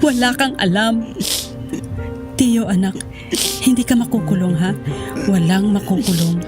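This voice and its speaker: Actress acting determined protective mother, middle pitch, melodramatic audio drama, music behind. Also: steady tone, a little slow, close to an everyday voice. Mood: fierce, resolute. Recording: music under the voice.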